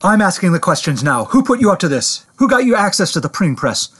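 Tough noir detective, raspy, wisecracking, pitch jumps around, audio comedy. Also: male voice, sing-song, quick pace, a bit bright, exaggerated voice. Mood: tough, sardonic. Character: tough, wisecracking hard-boiled male gunman detective. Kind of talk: noir parody audio drama promo.

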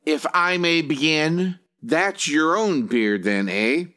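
Man playing pompous examiner, officious, nervous, irritable, lively intonation, radio comedy. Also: a little high, slow, exaggerated voice. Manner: officious, pompous, clipped questioning. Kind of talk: comic radio drama acting.

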